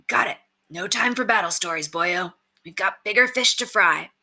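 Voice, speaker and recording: A woman voicing a brisk, gruff male bandit leader in a storybook, with a commanding, folksy, clipped manner. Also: mid-range voice, pushed and bright. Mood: businesslike.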